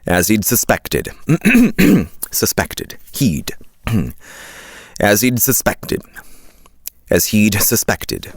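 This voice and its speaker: A man's voice: lowish voice, wildly swooping pitch, extremely slow, husky, dry recording, clean studio sound. A man reading scripted audiobook narration and repeating lines in retakes.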